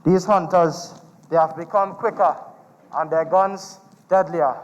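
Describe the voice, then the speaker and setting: An actor playing a weary, protective old forest guardian in a solemn, commanding stage manner. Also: male voice, fairly high pitch, steady tone, measured pace, natural voice. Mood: weary, regretful. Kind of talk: live stage play. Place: theatre stage in a large venue. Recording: echoing hall, clear background noise.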